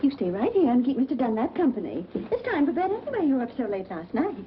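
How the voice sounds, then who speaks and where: An actress playing a brisk, exasperated but caring mother in 1940s film style. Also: high-pitched, big pitch swings, a little hiss or hum. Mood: firm, exasperated. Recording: dull old film soundtrack with music.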